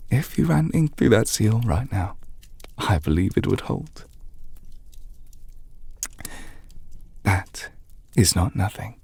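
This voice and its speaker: A man playing a gentle, patient magic teacher in an ASMR audio roleplay, speaking softly and formally, close to the microphone, as he reassures an apprentice. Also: fairly low pitch, sing-song, a little slow, full character voice. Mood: warm reassurance. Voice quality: soft, breathy, half-whispered. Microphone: close mic, dry.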